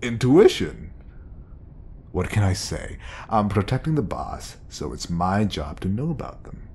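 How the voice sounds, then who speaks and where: A man's voice: big pitch swings, measured pace, a little mellow, slight background noise. A man playing an obsessive, lovestruck bodyguard in an audio roleplay, coaxing and intimate, passing devotion off as professionalism. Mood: sly, teasing. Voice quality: low, creaky. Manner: coaxing, intimate, slipping into whispers. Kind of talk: audio roleplay acting addressed to the listener.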